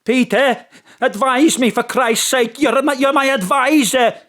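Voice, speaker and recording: A man playing a devious, blustering president with a pompous, deliberate manner in an audio drama. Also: very high-pitched, sharp tone, full character voice.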